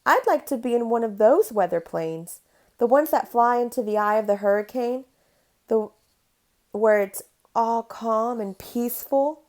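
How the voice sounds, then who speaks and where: A woman's voice: on the high side, a little slow, darkish tone, voice pushed up, close to an everyday voice. A woman voicing an adventurous, imaginative young boy in a children's storybook, with earnest, simple delivery. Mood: thoughtful.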